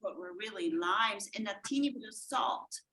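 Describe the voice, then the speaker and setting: A woman's voice: on the high side, sing-song, moderate pace, gravelly, bright, forceful tone. A middle-aged woman from El Salvador asking polite, apologetic questions on a video call.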